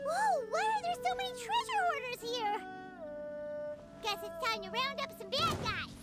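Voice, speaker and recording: A woman voicing a small, eager, childlike fairy companion with a squeaky, perky voice in a video game. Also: lively intonation, moderate pace, a bit bright, faint music behind. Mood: excited. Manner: refers to itself in third person, perky.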